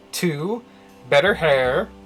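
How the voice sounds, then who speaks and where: Animated, higher-pitched man streaming a game. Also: very slow, rough recording. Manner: joking, wry. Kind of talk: casual live commentary on a visual novel. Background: faint game music.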